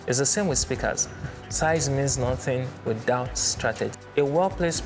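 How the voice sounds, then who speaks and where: A man gives a measured instructional talk at a middling pitch, with music playing clearly behind him. He phrases his points emphatically, like a teacher walking learners through a subject step by step. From Nigeria. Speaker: presenter, church sound instructor. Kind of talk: explainer with everyday analogies. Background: background music.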